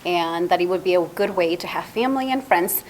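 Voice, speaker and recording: Female library worker, slightly low pitch, interview answers, faint ambient noise. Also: fairly quick, rough recording. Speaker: library staff member being interviewed.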